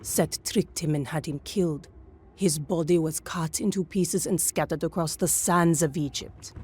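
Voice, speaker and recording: A woman's voice: low voice, fairly even intonation, moderate pace, a little mellow, slight background noise, lightly acted. A woman voicing a firm, commanding warrior in a game cutscene. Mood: stern. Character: stern warrior woman guide.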